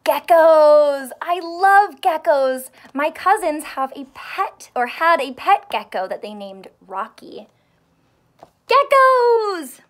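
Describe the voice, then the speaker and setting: A woman in her thirties reading a children's book aloud in an expressive, teacherly way, asking questions and drawing out key words. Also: high voice, slow. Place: small indoor room with couch and stuffed toys.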